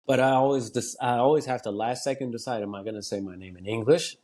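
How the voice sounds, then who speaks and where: A man's voice: mid-range voice, fairly even intonation, fast talker, darkish tone. Casual American guy talking slangy. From United States. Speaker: comedian, podcast guest. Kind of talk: casual chat, slangy and sweary.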